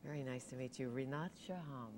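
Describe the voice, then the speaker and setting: A woman's voice: a bass voice, a little fast, a little mellow, slight background noise. A middle-aged woman hosting a TV studio interview, chatty and steering the guest with leading questions. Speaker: TV talk show host.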